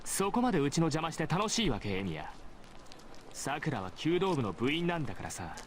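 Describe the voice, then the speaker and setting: A man voicing an arrogant high-school bully in an anime-style visual novel, with a condescending manner. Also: sing-song, racing, slight background noise. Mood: mocking. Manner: condescending, haughty. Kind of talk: Japanese visual novel voice acting.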